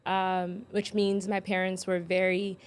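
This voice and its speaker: A woman's voice: mid-range voice, level tone, unhurried. A young woman, born in Ethiopia and raised in Florida, chatting casually about her life as the guest on her own podcast, laughing often and talking with many ums and likes. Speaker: podcast host, guest this episode. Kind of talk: casual interview conversation.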